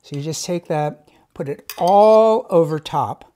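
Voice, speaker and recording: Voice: mid-range voice, measured pace, dark tone. A cooking show host giving a casual cooking demonstration in a home kitchen, chatty and enthusiastic, with folksy asides.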